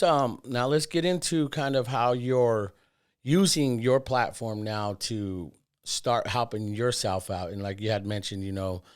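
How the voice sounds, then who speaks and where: A man about forty hosts a podcast in a relaxed, rambling way, full of fillers. His voice sits a little low. He keeps it friendly and informal. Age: 40s. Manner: loose, filler-heavy, says "man" and "dude". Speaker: podcast host. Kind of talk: casual podcast chat.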